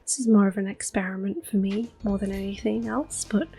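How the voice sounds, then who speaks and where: A woman giving soft-spoken ASMR gameplay commentary in a gentle, hushed, breathy voice that often drops into a whisper. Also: medium pitch, brisk, soft background music. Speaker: gaming video host.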